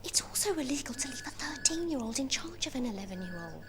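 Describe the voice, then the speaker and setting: A woman's voice: high voice, very animated, quick pace, noisy background. An actress playing a flustered mother who stammers and trips over her words, with a sarcastic edge. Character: flustered middle-aged mum juggling calls. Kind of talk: sitcom acting.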